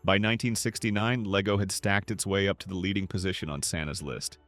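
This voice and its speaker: A man reading scripted documentary narration in a measured, polished storytelling manner. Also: deep voice, fairly even intonation, a bit bright, dry recording, soft background music.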